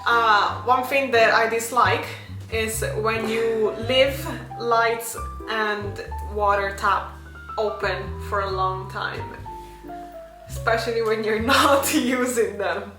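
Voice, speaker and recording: A woman chatting playfully to camera as co-host of a couple channel, picking her words slowly. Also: on the high side, steady tone. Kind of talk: casual couple chat to camera. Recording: echoey room with music behind.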